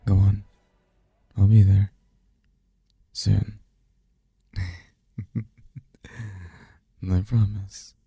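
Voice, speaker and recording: A man playing a suave, seductive male demon in an intimate ASMR roleplay, teasing and flirtatious, with a husky, gravelly murmur. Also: monotone, mellow, dry recording, studio quality, heavily acted. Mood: seductive, pleased. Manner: slow, flirtatious, breathy murmurs with low chuckles.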